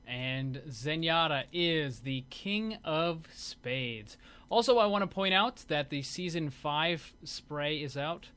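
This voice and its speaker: Bright-voiced man doing casual gaming commentary. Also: a little high, animated, slow, clear, resonant tone. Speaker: gaming video host. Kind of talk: casual commentary over game footage.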